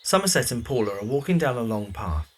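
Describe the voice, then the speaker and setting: A man's voice: medium pitch, big pitch swings, a little fast, a little hiss or hum. A man reading scripted narration for an audio drama.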